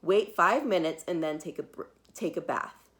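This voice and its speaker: A woman voicing a calm female doctor in a children's storybook, giving instructions in a matter-of-fact way. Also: lowish voice, normal speed, natural voice. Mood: calm, instructing.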